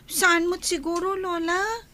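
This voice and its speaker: A woman's voice: extremely high pitch, steady tone, takes it slowly, sharp tone, full character voice. A woman playing an anxious young granddaughter in a radio drama, with a plaintive, questioning way of talking. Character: anxious young granddaughter who misses her mother.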